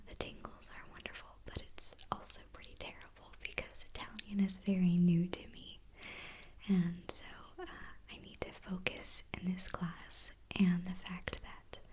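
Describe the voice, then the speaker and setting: A woman's voice: fairly low pitch, mellow, poor audio. A woman whispering a casual, rambling ASMR chat for her channel, drifting off into digressions as she talks.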